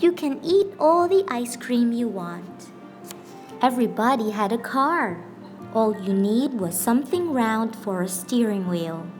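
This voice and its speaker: A woman reading a children's picture book aloud as a teacher, with expressive, deliberate phrasing and pauses for emphasis. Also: mid-range voice. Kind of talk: scripted storybook narration. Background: background music.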